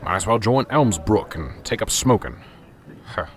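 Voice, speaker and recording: A man's voice: fairly low pitch, expressive, normal speed, soft background music, lightly acted. An actor playing an exasperated male police sergeant in an amateur radio drama. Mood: weary. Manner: curt, exasperated. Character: gruff weary male small-town police sergeant.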